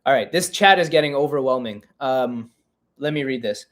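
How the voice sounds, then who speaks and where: A man's voice: medium pitch, normal speed. A man in his twenties hosting a casual live-stream Q&A podcast, chatty and self-mocking as he reads the chat aloud. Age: 20s. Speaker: podcast host.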